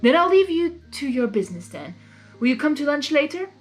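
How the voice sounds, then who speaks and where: A woman's voice: high voice, very animated, fairly quick, faint music behind, pitched above the performer's own voice. Woman putting on a courtly, theatrical male trickster creature. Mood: chipper, courteous.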